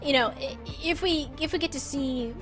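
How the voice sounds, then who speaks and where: A woman's voice: high-pitched, moderate pace, fairly bright tone, soft background music. A woman giving live esports commentary and casual game analysis, opinionated and colloquial in how she talks.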